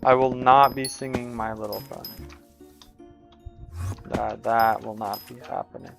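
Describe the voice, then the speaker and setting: A man chatting casually on a gaming livestream, tired, with a deep voice and a rambling way of talking full of fillers. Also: unhurried, creaky. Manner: relaxed, rambling, lots of "like" fillers. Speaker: streamer. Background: background music.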